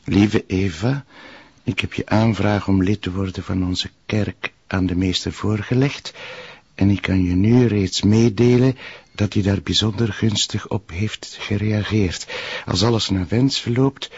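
An actor playing a calm, observant police detective in a TV crime drama, understated and measured, with a Flemish accent. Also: male voice, fairly low pitch, slightly rough, no echo. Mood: reading aloud. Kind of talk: naturalistic TV crime drama acting. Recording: close, dry, slightly muffled.

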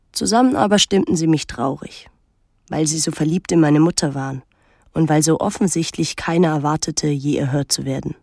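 A young actress playing a pensive, detached teenage daughter, narrating a literary radio drama in a measured, introspective way. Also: female voice, lowish voice, a little mellow, dry recording, clean studio sound.

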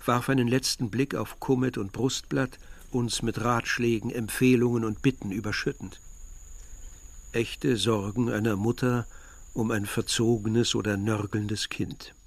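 An actor playing a reflective man narrating his village youth in a literary radio drama, measured and articulate. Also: mid-range voice, fairly even intonation, gravelly.

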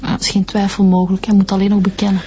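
An actress playing a keen, outspoken police detective in a radio drama, talking naturally with a Flemish accent. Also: female voice, medium pitch, monotone, rapid-fire, warm tone, a little hiss or hum, natural voice. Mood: insistent.

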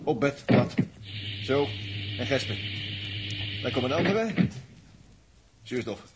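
An actor playing a tense, commanding ship's medic giving short clipped instructions in a 1960s radio drama. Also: male voice, mid-range voice, very animated, measured pace. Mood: tense, urgent. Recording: noisy old radio recording.

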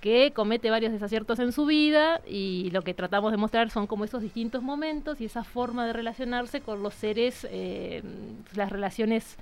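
A woman's voice: on the high side, normal speed, few pauses, clear, resonant tone. An Argentine woman, a theatre director, explaining herself reflectively as a guest in a casual radio interview.

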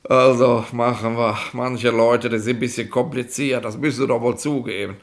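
An actor playing a gruff, officious police inspector in a 1960s radio play, pedantic and sarcastic in colloquial bureaucratic bluster. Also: male voice, medium pitch, fairly even intonation, normal speed, close to an everyday voice. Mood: teasing, condescending.

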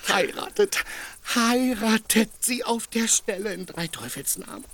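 An actor playing an earthy, greedy squire in a radio play, with blunt, stammering, grumbling peasant talk. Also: male voice, sits high, animated, a little slow, fairly bright tone, heavily acted. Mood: exasperated, scornful. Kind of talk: German radio play acting.

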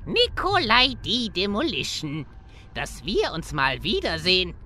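A man's voice: high-pitched, huge pitch swings, unhurried, sharp tone, a little hiss or hum, full character voice. A man playing a scheming, gloating gnome villain in a comedy fantasy audio play, with theatrical delivery. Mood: gloating menace.

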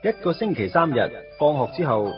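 Lovesick Cantonese schoolboy, animated delivery. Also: male voice, on the high side, brisk. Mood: wistful. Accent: Hong Kong Cantonese. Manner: colloquial, confiding, wry. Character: lovesick teenage schoolboy, self-deprecating, wistfully narrating first love. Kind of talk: radio drama narration and dialogue. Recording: dull lo-fi radio recording with music.

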